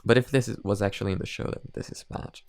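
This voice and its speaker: A man's voice: fairly low pitch, steady tone, quick pace, a little mellow. A man hosting a reaction video, talking in a casual, rambling, conversational way.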